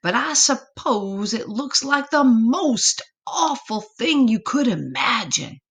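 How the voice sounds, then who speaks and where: A woman's voice: fairly high pitch, sing-song, takes it slowly, fairly bright tone, breathy edge, voice pushed up, heavily acted. A woman voicing a doting mama possum in a storybook, affectionate and dramatically cautionary. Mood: spooky, cautioning.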